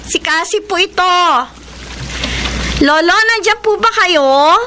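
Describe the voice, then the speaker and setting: A caring young granddaughter with a high, girlish pitch. She is polite and gentle in a Filipino radio drama, with clear noise behind her. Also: slow pace. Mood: searching.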